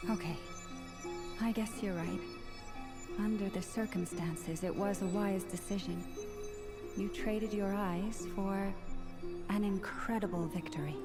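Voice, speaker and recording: An actress playing a caring, businesslike woman healer in a video game, speaking in a measured, formal, articulate way. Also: mid-range voice, lightly acted. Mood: reproving, composed. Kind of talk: scripted game voice acting. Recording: loud music under the voice.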